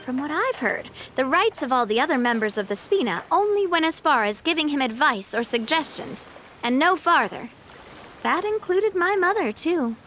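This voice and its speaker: A woman playing a poised, warm-hearted young female leader in an English video game dub, speaking in a reflective, earnest way. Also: high voice, sing-song, normal speed. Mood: wistful, matter-of-fact. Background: music.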